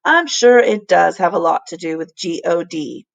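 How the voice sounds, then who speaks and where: A woman voicing an earnest, kindly male professor in a storybook, explaining things deliberately and with emphasis. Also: lowish voice, expressive, vocal fry. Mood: gentle, reflective.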